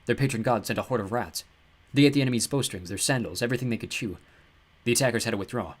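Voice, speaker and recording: A man voicing a nervous, gentle teenage boy who stammers as he explains. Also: mid-range voice, quick pace, close to an everyday voice. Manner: stammering, hesitant.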